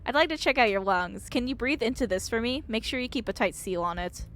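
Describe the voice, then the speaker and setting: A woman's voice: fairly high pitch, lively intonation, brisk, a bit bright, soft background music. Woman reading as a stoic, dry older female doctor. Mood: dry, matter-of-fact. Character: stoic older female doctor, skeptical, clinical, matter-of-fact.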